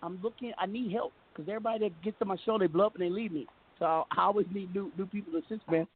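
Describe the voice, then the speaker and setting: Fast-talking high-voiced man on a phone line. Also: breathy edge. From US West Coast. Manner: fast, joking, talks over the caller. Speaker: radio show host. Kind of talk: call-in talk radio chat. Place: home studio in the Southwest. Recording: phone-line audio with faint hiss.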